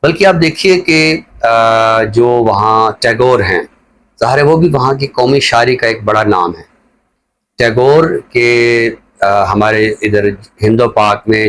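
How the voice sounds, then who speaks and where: A middle-aged man with a Pakistani accent, giving reflective talk show commentary in a measured, explanatory way like a teacher. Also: medium pitch, fairly bright tone.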